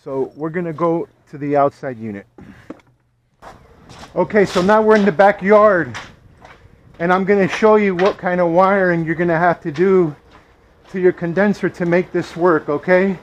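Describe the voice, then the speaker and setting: A man's voice: moderate pace, long pauses, slight background noise. A man working as an HVAC technician, explaining a repair step by step and checking in with "okay?" after each point, recorded on a body-worn camera in an attic and then in a backyard. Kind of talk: hands-on repair tutorial. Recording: dull, dry, low-fidelity sound.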